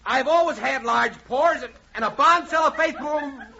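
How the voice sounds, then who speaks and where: A man's voice: extremely high pitch, normal speed, gravelly, bright, forceful tone, exaggerated voice. A man acting a reluctant, flustered barbershop customer in a 1940s radio comedy, hesitant and protesting. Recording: muffled, faintly noisy 1940s radio recording with clicks.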